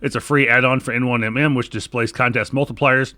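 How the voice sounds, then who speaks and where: A man's voice: medium pitch, steady tone, a little fast, bright, forceful tone, no room sound. A man hosting a solo podcast, talking in an informal, rambling, conversational way. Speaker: podcast host. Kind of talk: casual solo podcast talk.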